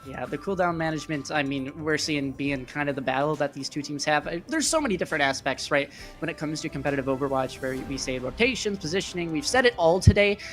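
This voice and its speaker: An esports commentator calling live play-by-play in a chatty way that gets animated with the action. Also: mid-range voice, quick pace, few pauses, soft background music.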